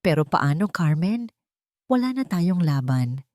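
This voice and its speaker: A woman's voice: fairly low pitch, big pitch swings, a little slow, a little mellow, no room sound, clean studio sound. A woman voicing a determined male boss in an audio storybook drama, speaking firmly and earnestly. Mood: despairing. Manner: firm, earnest, declarative.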